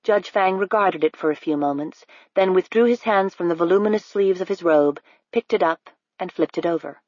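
A woman narrating an audiobook in an even, unhurried storytelling manner. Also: on the low side, no room sound, dull audio. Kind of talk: scripted narration.

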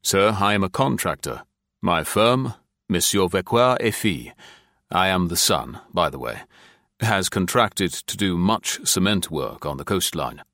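A narrator plays a deferential French businessman in a wartime audiobook. The man's voice is very low and dry, and he explains himself smoothly without getting ruffled. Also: animated, a little slow, fairly bright tone, dry recording, heavily acted. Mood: calm, deferential. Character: suave, unruffled French contractor being questioned, deferential.

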